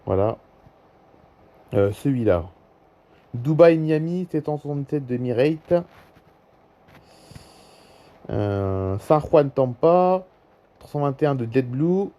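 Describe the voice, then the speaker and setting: A man's voice: medium pitch, expressive, takes it slowly, long pauses, warm tone. A man with a French accent giving casual, off-the-cuff commentary as a YouTuber, often repeating himself and prompting viewers to look. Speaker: YouTuber presenting a flight-tracking app.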